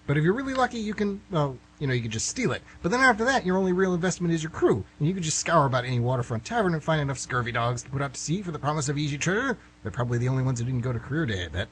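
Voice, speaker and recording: A man's voice: a little high, very animated, racing, few pauses, a bit bright, slight background noise, low-quality recording. A man playing an eager, naive young student who rambles earnestly about his dreams in an amateur comedic radio play. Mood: eager, excited.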